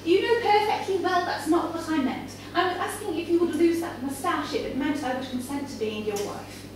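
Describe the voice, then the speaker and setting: A woman's voice: very high-pitched, brisk, voice pushed up. Woman reading as a haughty young lady, echoey room. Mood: stern, impatient. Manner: coaxing, imperious, impatient. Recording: echoey noisy room, far from microphone.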